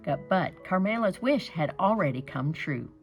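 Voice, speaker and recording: A woman reads a children's picture book aloud with expressive, dramatic storytelling. Her voice sits on the low side for a woman yet rises and falls very freely, and faint music plays underneath. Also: normal speed.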